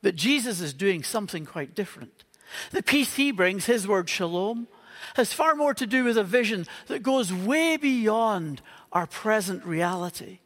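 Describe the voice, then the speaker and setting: A man's voice: high-pitched, lively intonation, a little slow, a bit bright, big-room sound. A man with a Northern Irish accent preaching an earnest Christmas Eve sermon as a pastor. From Northern Ireland. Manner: earnest, with playful humorous asides. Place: church auditorium.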